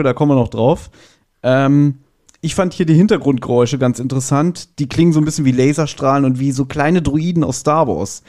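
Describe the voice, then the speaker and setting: Mid-pitched man explaining casually. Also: a little mellow. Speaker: podcast reviewer. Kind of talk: casual podcast discussion.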